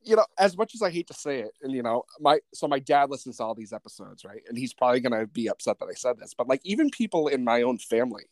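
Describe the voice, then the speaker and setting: A man's voice: medium pitch, big pitch swings, fast talker, few pauses, darkish tone, dry recording. A man hosting a podcast, talking casually with lots of fillers and easy laughs. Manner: rambling, filler-heavy, laughing easily. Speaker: podcast host. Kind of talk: casual interview chat and outro.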